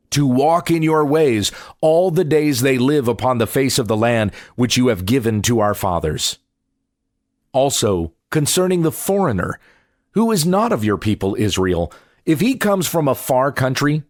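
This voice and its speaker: A man reading the Bible aloud as a pastor, with solemn, measured phrasing. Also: medium pitch, no room sound, clean studio sound.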